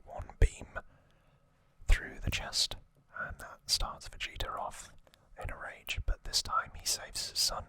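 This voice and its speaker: A male ASMR host speaks in a crisp, hissy whisper that is almost fully unvoiced. His delivery is gentle and slow, broken by pauses. The breathy sound is strikingly bright as he addresses his viewers. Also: no room sound, rough recording.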